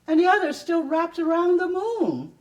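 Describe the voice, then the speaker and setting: A woman giving a deliberate, theatrical poetry reading with pauses, at a live event. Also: very high-pitched, very animated, recorded from a distance. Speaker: performer reading own poetry. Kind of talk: dramatic poetry reading to an audience.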